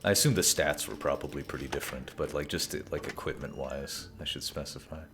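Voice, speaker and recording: Very deep-voiced rambling male streamer. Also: fairly even intonation, a little fast, background noise. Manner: rambling, jokey, trailing filler words. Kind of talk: casual chat while gaming.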